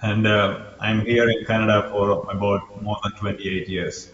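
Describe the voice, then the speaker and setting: A man in his forties from Sri Lanka speaks as a guest over a video call from a home room. His low voice stays flat, and he talks modestly and haltingly. The sound is roomy, distant and dull, with faint noise behind. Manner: modest, hesitant with fillers. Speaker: guest, men's charity founder.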